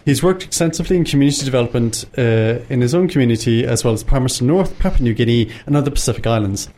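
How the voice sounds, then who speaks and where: A man's voice: mid-range voice, animated, fast talker, warm tone. A man hosting a radio interview show, speaking informally in loose, casual phrases. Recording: clear background room noise.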